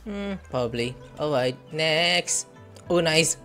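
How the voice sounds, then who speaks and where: A man giving casual let's-play commentary, joking and reacting out loud. His voice jumps around with lively energy. Faint game music plays behind him. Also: on the high side, takes it slowly. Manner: joking, excitable, talks to himself. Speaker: gamer playing the quest.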